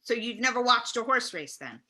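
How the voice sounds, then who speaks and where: A woman acts out an incredulous, cranky sports talk host in his sixties. The voice is very bright and cutting, fairly high and lively. The host is blunt and repeats questions at hapless callers in a comic radio parody. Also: a little fast. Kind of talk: comedy table read of sports talk radio.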